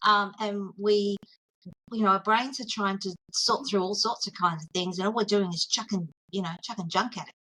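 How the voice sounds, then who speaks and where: An Australian woman, a mindful arts therapist, chatting as an interview guest in an earnest, gently enthusiastic way, often pausing to find her words over a video call. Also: mid-range voice, steady tone, slight background noise, low-quality recording. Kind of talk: casual interview chat.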